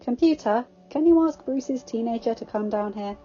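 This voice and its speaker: A woman's voice: on the high side, normal speed, mellow, highs cut off, voice pushed up, close to an everyday voice. A woman playing a tough, easygoing warrior woman who speaks casually and directly in a story reading. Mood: brisk.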